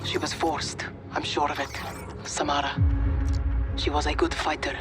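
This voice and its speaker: A woman's voice: low voice, little pitch movement, moderate pace, over loud music, close to an everyday voice. An actress playing a grave, determined woman freedom-fighter commander in a military video game. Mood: grave, resolute. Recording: loud film score behind the voice.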